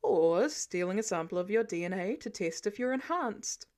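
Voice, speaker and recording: A smug, wealthy older man, played by a woman narrator in an audiobook. He teases and goads in a deadpan, self-assured way, with a lively pitch that dips for emphasis. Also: moderate pace, close to an everyday voice. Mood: matter-of-fact. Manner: matter-of-fact, deadpan, goading. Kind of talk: audiobook character dialogue.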